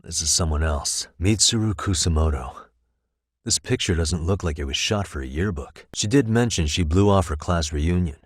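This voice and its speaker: A calm private detective in a video game, with a low voice and a close, dry sound. He speaks in a measured, methodical way, as if reasoning through each point aloud. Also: no echo. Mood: pensive, focused. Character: calm, analytical male private detective, former lawyer. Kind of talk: English video game voice acting, conversational dialogue.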